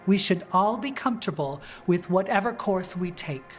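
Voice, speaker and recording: A woman's voice: medium pitch, animated, normal speed, faint music behind, close to an everyday voice. A woman playing a dignified, principled politician in a video game, speaking in a firm, earnest and articulate way. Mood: measured, earnest. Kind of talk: video game dialogue acting.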